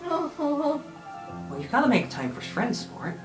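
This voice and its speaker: A man voicing an awkward, gentle older man who counsels a youngster haltingly in a roleplay podcast. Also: extremely high pitch, big pitch swings, echoey room, music in the background, voice pushed up, heavily acted. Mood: awkward concern. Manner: hesitant, kindly, trailing off.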